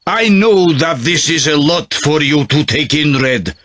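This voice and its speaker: An actor playing a solemn priest in a video game cutscene, telling his story in a grave, measured manner. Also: a little high, expressive, a bit bright, slight background noise. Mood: solemn, earnest. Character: solemn priest revealing a secret royal heritage. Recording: music behind the voice. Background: music.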